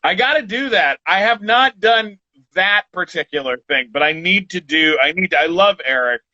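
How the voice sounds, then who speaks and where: A man in his forties, a podcast guest chatting casually, rambling and halting with many restarts. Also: high voice, lively intonation, bright, forceful tone, dry recording. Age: 40s. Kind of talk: casual chat. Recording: dull lo-fi sound.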